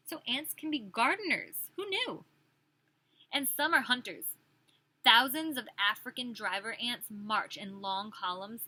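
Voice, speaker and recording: A woman with a strikingly bright, somewhat high voice reads aloud like a preschool teacher. She is expressive and speaks directly to small children, mixing the story with questions and chatty asides. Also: rough recording. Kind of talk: children's picture-book read-aloud with questions to young listeners.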